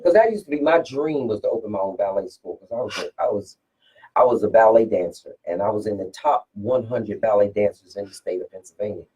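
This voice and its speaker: A woman with a deep, strong voice, a singer from Philadelphia, telling stories in a casual radio interview with lots of restarts and repeated words. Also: brisk, warm tone, breathy edge, recorded from a distance, low-quality recording. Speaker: guest singer.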